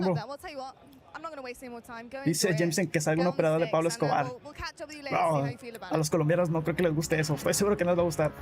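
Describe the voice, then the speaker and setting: A man streaming live, answering chat in a casual way, calling viewers bro and often restarting his sentences. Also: fairly high pitch. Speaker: gaming streamer.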